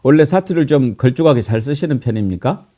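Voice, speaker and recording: A man's voice: mid-range voice, lively intonation, fast talker, warm tone. A male host leading a casual interview, prompting his guest with short questions. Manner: brief prompting questions, reads viewer comments.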